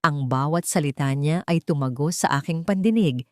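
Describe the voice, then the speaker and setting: Low-voiced woman narrating a drama, close and dry. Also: normal speed, no echo. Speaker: story narrator. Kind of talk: scripted first-person dramatic narration.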